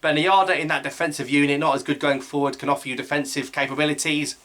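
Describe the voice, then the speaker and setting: A man talks through an upcoming match on his own football channel, sounding like an eager fan. His voice sits a little high and comes across bright and forceful. He breaks off into quick asides and corrects himself mid-sentence. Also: fairly even intonation. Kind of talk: solo football match preview.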